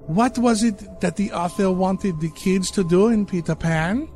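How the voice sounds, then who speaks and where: A man puts on a charred ghost ballerina for a spooky storybook reading, voiced high above his own range and loud. She is bitter and sarcastic, scolding and mocking in a shrill tone, with a gravelly edge under it. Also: measured pace, faint music behind.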